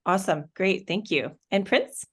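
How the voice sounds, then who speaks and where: A woman moderating an online student panel, upbeat and explaining things clearly. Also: medium pitch, expressive, moderate pace.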